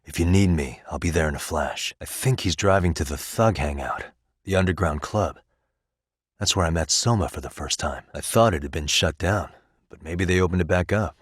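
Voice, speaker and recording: A dogged, cool-headed male private detective from a video game, with a low voice. He talks in a dry, conversational way with streetwise confidence. The sound is tight and free of room echo. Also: moderate pace, breathy edge. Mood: steady, reassuring. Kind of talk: English video game voice acting.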